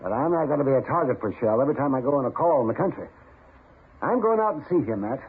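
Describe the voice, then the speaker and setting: An actor playing a determined, feisty country doctor in an old-time radio western. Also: male voice, medium pitch, lively intonation, fast, slightly rough. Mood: indignant, determined. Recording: noisy, narrow-band old radio recording.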